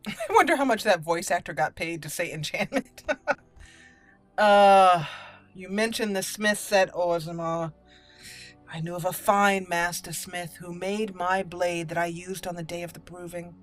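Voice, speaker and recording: A woman's voice: on the low side, unhurried, no echo. Female let's play host, chatty, roleplay-minded commentary, game music underneath. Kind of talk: gameplay commentary with in-character roleplay lines.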